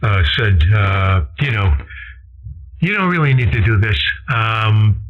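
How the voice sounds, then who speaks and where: A man in casual technical chat as an amateur radio operator, explaining in a halting, tentative way over a radio transmission. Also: fairly low pitch, big pitch swings, phone-quality sound. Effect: ham radio transmission. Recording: band-limited ham radio transmission.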